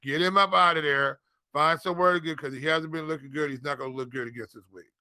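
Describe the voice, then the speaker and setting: Man, fairly high pitch, sharp bright tone, casual sports analysis, informal slang, repeated phrases. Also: steady tone, fast talker, smooth, no echo. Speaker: fantasy football podcast co-host. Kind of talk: casual sports chat, giving picks and analysis.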